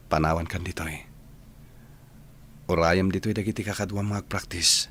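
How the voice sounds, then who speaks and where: A man's voice: deep voice, fairly even intonation, normal speed, fairly bright tone, slight background noise. A man acting in a radio drama as an earnest, heartbroken young graduate, with a Filipino Ilocano accent. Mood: hurt, pleading.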